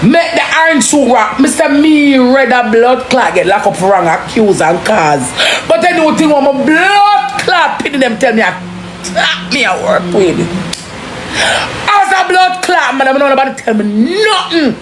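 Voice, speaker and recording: A woman with a Jamaican accent in an impassioned, forceful street-style rant, cursing heavily and repeating phrases for emphasis. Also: sits high, very animated, normal speed. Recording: roomy echo, clearly noisy background.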